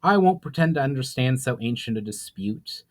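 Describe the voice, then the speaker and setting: A man's voice: medium pitch, lively intonation, moderate pace, lightly acted. A man voicing a courteous, earnest elf envoy with formal wording in a fantasy story. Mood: grave.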